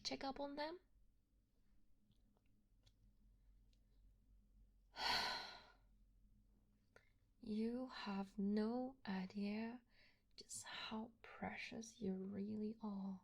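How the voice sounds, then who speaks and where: A woman acts out a young yandere kidnapper who is clingy, sweet and utterly obsessed. Her voice is high and breathy with a crackle of fry, and she speaks very slowly and intimately to the listener as in an ASMR roleplay, often sinking toward a whisper. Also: expressive, full character voice. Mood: tender, obsessive. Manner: soft breathy delivery dropping often into whispers.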